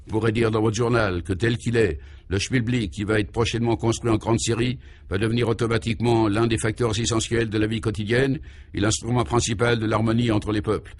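A man's voice: lowish voice, little pitch movement, a little fast, close to an everyday voice. A man acts out a pompous scientist in a comic radio serial. He lectures in solemn, absurd jargon with grand, emphatic oratory, exalted by his own invention. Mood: exalted, grandiose.

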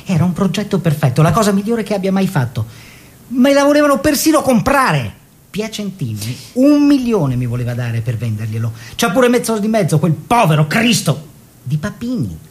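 An actor playing a proud, bitter, wry artist husband in a radio drama, with emphatic lists and repetitions that drop into hushed asides. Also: male voice, big pitch swings, moderate pace. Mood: bitter, sarcastic. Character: opinionated Italian architect-painter husband, proud, bitter, wry. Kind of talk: literary stage-style radio drama monologue and dialogue. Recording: faint background noise with low rumble.

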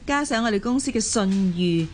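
A woman plays a gossipy, cheeky office colleague in a radio drama. Her Hong Kong Cantonese is animated and rather high, with big swings in pitch. Faint noise sits in the recording. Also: fairly quick, lightly acted. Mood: encouraging. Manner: teasing, animated.

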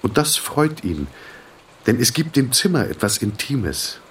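An actor narrating a literary drama as the weary, introspective inner voice of a middle-aged patient, husky and terse. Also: male voice, mid-range voice, very animated, measured pace, slight background noise. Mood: brooding.

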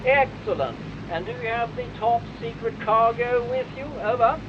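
A jolly upper-class RAF officer with a British accent, chattering over a radio set. His voice sits high, and the sound is slightly dull, with steady background noise behind it. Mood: brisk. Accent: British English. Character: jolly British RAF squadron leader, tea-loving. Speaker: squadron leader on radio. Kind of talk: radio drama acting.

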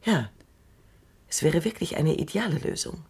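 An actress playing Doctor Calvin, a shrewd, dry and assured elderly woman robot psychologist, speaking in a measured, knowing way in a radio drama. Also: sits low, sing-song, gravelly, a little mellow, breathy edge, full character voice. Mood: wry.

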